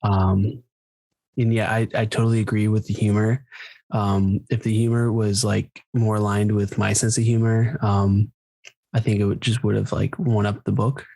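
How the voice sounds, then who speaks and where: Casting: man, husky and monotone, measured podcast co-host discussing books casually. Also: lowish voice, dry recording. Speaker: book club podcast co-host.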